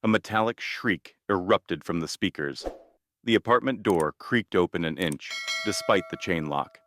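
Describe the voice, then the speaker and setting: A man narrating a scripted scary story in a measured, ominous manner, in short deliberate phrases. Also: low-pitched, noisy background.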